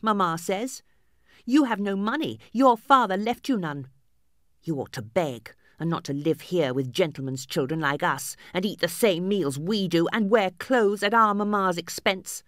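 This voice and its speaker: A woman voicing a spoiled, bullying fourteen-year-old boy who shouts and taunts. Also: mid-range voice, animated, normal speed, no room sound, clean studio sound, voice pushed up, natural voice. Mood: sneering.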